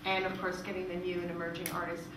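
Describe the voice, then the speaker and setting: Female presenter, explaining informally in Q&A; picked up from a distance in a highly reverberant room. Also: completely flat delivery, fast. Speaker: presenter from a music royalties organisation. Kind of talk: presentation with audience questions. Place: indoor presentation room with audience. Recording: echoey room, distant mic, noisy.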